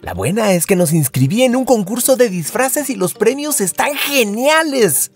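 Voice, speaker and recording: A man playing an excitable, scheming, upbeat teenage hero student in a comic fandub, eager and wheedling. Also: sits high, normal speed, slightly rough, faint music behind, heavily acted.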